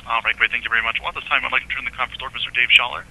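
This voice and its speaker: Very fast, flat male call operator. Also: medium pitch. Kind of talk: scripted call announcements. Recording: harsh phone line with faint noise.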